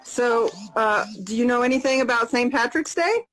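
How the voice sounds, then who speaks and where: A woman's voice: a little high, fairly bright tone, low-quality recording. Upbeat American woman in her fifties. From United States. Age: 50s. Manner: upbeat, repeats questions slowly and plainly. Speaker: live chat host. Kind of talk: casual video chat.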